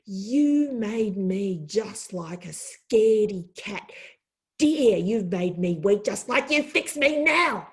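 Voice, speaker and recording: A woman's voice: mid-range voice, expressive, takes it slowly. A woman voicing a blustering, frightened male forest giant in a storybook tale, stammering and whiny. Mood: angry.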